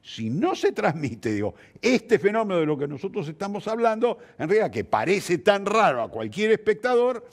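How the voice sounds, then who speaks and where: A man's voice: sits high, sing-song, normal speed, few pauses. An older man with an Argentine Spanish accent reflecting in an interview, repeating and rephrasing for emphasis. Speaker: interviewed theatre director and teacher.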